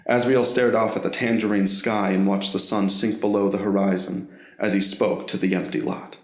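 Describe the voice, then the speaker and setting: A man's voice: fairly low pitch, level tone, normal speed, echoey room. A man reading scripted story narration for an audio drama in the role of narrator.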